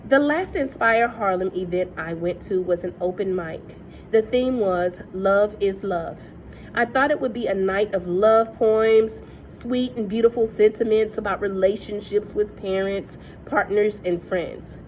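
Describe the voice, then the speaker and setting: A woman reading a novel aloud in a steady, measured first-person voice. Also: medium pitch, a little hiss or hum, low-quality recording. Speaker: reader.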